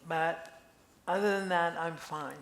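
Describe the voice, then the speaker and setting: A woman's voice: fairly low pitch, slow. An older woman reading the part of a chatty, curious friend in an amateur play. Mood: reflective. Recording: echoey room with faint noise.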